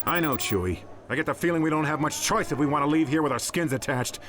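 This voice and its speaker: An actor playing a cocky, impatient smuggler pilot in a video game, talking in a wry, grumbling, informal way. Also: male voice, fairly high pitch, fast, fairly bright tone, slight background noise, lightly acted. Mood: wary, resigned. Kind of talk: dramatic video game acting.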